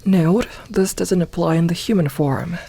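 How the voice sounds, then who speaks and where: A woman voicing a gentle, comforting young werewolf in a soft ASMR roleplay, talking intimately and reassuringly to one listener with light humour. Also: fairly low pitch, unhurried, slightly rough. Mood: explaining, playful. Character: gentle, comforting young female werewolf, playful self-styled lone wolf.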